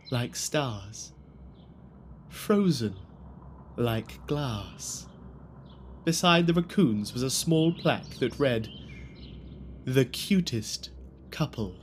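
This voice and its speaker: A man playing the storyteller of a dark animal audio drama, narrating in a measured, theatrical way over music. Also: mid-range voice, a little hiss or hum. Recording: music clearly behind.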